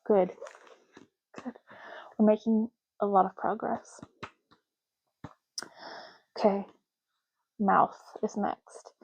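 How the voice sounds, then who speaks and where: A woman doing a soft-spoken ASMR roleplay as a clerk, explaining options in a casual, chatty way. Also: medium pitch, flat delivery, very slow, gravelly, pauses often, muffled recording, slight background noise. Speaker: ASMR creator playing a clerk making ID cards.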